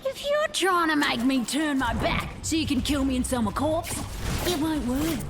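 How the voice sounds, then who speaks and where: A woman's voice: high voice, very animated, moderate pace. A woman voicing a brash, fearless young warrior heroine who talks back defiantly. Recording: game music behind.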